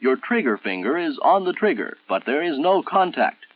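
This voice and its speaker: An American man narrating a 1960s military training film in a formal, measured, instructional manner, on an old film soundtrack. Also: a little high, very animated, dry recording, narrow, tinny audio.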